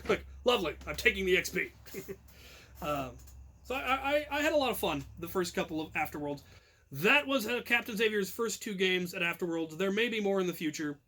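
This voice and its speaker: A man with a noticeably high voice tells a long story in a loose, conversational way. He wanders with ums, restarts and small chuckles at his own tale. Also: pushed and bright. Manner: rambling, self-amused, frequent ums and restarts. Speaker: channel host telling a story. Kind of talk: casual storytelling.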